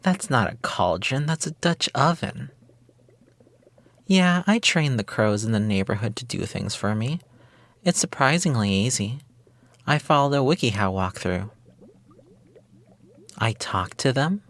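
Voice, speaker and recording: A coy, playful witch of a sweetheart acted out by a man in an intimate ASMR roleplay. The talk is flippant and cutesy, dodging every question with a tease. A veiled menace sits under the sweetness, at a middling pitch. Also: lively intonation, measured pace, long pauses, fairly bright tone. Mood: playful, defensive. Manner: flippant, evasive, teasing, cutesy slang. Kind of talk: intimate ASMR roleplay monologue, girlfriend-experience script.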